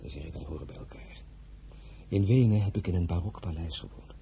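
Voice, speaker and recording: Deep-voiced, affectionate general. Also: male voice, a little fast, warm tone, a little hiss or hum. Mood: earnest, intimate. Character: Jean-Baptiste, affectionate, proud soldier general and new husband. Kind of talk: radio drama acting.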